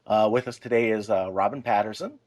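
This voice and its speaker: A man's voice: fairly low pitch, fairly quick, a little mellow. A man hosting a podcast, introducing the show and guests with playfully formal phrasing. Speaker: podcast host. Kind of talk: hosting, semi-scripted intro to a chat show.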